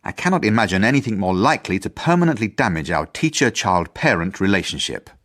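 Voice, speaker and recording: A man's voice: lowish voice, big pitch swings, fast, fairly bright tone. A man acting an earnest, fussy British headmaster in a radio drama, formal and self-important as he narrates his journal. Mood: earnest, officious. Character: earnest, idealistic, fussy male school headmaster. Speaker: actor.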